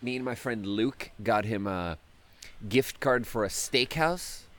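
A man's voice: mid-range voice, measured pace, a bit bright. A man in his thirties from Sweden, hosting a podcast in casual chat. He laughs often while telling rambling anecdotes. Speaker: podcast host.

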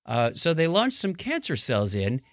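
A man's voice: a little high, wildly swooping pitch, moderate pace, no echo, clean studio sound. A man hosting a casual podcast, retelling odd news stories in a wry, bantering way. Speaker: podcast host.

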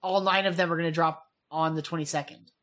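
A young woman co-hosting a podcast, chatting casually in a quick, animated way with lots of asides. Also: sits low. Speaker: podcast co-host. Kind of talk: casual chat.

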